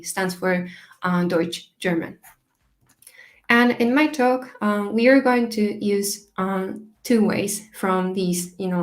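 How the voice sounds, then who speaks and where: Woman, Japanese first language, tech conference speaker, mid pitch, halting delivery full of fillers. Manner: hesitant, many fillers and restarts. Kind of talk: technical conference talk.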